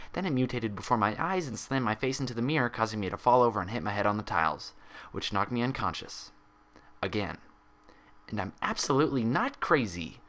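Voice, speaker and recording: A man's voice: mid-range voice, a bit bright, natural voice. A man voicing a nervous, evasive young female pony in a storybook reading, with a hesitant, stammering delivery.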